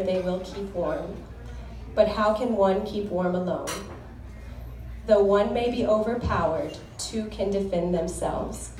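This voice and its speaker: A young woman delivers a sincere, devout wedding toast at a medium pitch. Her voice carries from a distance through a reverberant reception hall. Faint clinking of dishes sits low in the background. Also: mellow. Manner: earnest, heartfelt, devout. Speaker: groom's sister giving a wedding toast. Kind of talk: formal wedding toast with scripture readings. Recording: echoey hall recorded from far off.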